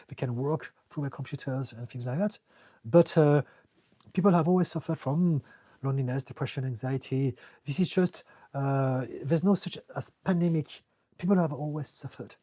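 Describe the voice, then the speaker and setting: A man's voice: mid-range voice, mellow, breathy edge, no echo. A man with a French accent, a therapist answering viewers' questions on a live stream in an earnest, direct way, sometimes repeating words as he searches for them. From France. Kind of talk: live-stream Q&A, casual advice.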